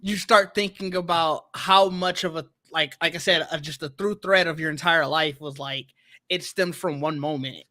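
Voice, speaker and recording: A man's voice: a little high, steady tone, moderate pace, sharp tone. A man co-hosting a podcast, talking earnestly and reflectively in casual chat, trailing into fillers. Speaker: podcast co-host.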